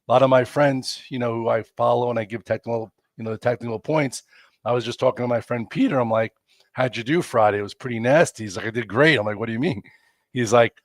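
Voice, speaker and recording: A man's voice: medium pitch, no room sound. Congested New man talking markets. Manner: chatty, rambling, many fillers and restarts. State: congested, losing his voice. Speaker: stock market show host. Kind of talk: casual live market commentary.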